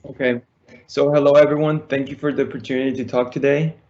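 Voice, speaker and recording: A teenage man from Colombia, giving a prepared pitch over an online call. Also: little pitch movement, fast talker, darkish tone, highs cut off. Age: teens, high school student. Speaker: student entrepreneur pitching.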